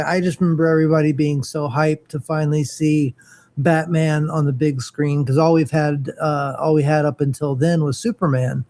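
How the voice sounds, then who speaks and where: A man in his forties with a somewhat high voice co-hosts a casual conversation. He speaks hesitantly, pausing on fillers and restarting his sentences as he works out his point. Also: mellow. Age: 40s. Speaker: co-host. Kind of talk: casual chat.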